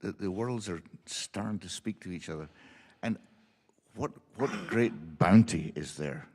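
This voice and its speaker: A man with a low voice answers from the panel with keen enthusiasm. He tells his stories eagerly. The sound trails off in a hall with a long reverb. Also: a little slow, a little mellow, slightly rough. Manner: enthusiastic storyteller. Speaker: panelist, performer and teacher. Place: symposium hall.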